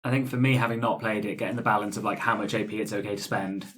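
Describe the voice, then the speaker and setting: A man chatting casually as a game player, acting out his own thoughts in an animated way. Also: lowish voice, fast talker, slight background noise. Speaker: player in a roleplay podcast. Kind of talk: casual group discussion.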